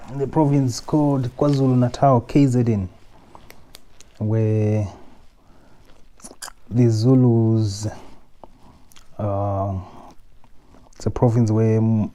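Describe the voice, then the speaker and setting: Zimbabwean man in his thirties, unhurried vlogger, dark mellow tone. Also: on the low side. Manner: slow, measured, repeats himself. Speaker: YouTube vlogger. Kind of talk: personal reflective vlog.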